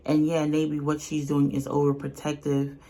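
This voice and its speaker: A woman's voice: low-pitched, completely flat delivery, normal speed, mellow, rough recording. A woman giving casual, unscripted reaction commentary in a blunt, colloquial, earnest way. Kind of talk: casual, unscripted opinion talk.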